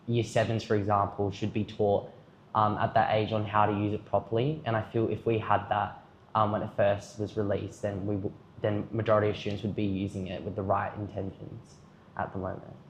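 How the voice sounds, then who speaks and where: A man's voice: on the low side, level tone, brisk, a little hiss or hum. Earnest young Australian man, roomy sound. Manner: rambling, filler-heavy, earnest. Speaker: student at an education camp. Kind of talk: casual interview answers. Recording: roomy, dull-sounding audio.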